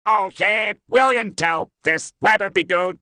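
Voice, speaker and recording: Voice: medium pitch, unhurried, pushed and bright, dry recording. A performer voicing a grumpy, stubborn cartoon grouch who answers curtly and dismissively, in an old TV ad skit style. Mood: irritated. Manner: curt, dismissive. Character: grumpy, stubborn cartoon grouch refusing every sales pitch.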